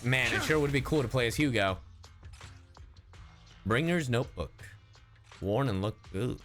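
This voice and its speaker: A man's voice: mid-range voice, lively intonation, measured pace, fairly bright tone. A man livestreaming a game with casual, playful commentary, slipping into sing-song sounds and mimicry. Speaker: livestreaming gamer. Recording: faint game music behind.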